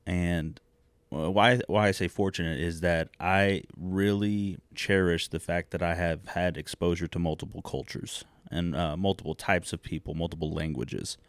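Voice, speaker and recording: A young man who grew up in China, a podcast guest, recalling his upbringing in a measured, reflective way with frequent fillers and restarts. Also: sits low, no echo. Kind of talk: reflective personal storytelling.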